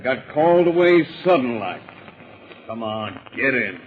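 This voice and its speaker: Blunt, sneering American western thug, animated pitch, slangy threats, old radio crime drama with noisy car sound. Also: male voice, a little slow. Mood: menacing. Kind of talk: 1940s radio acting. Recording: dull old radio recording, clear noise.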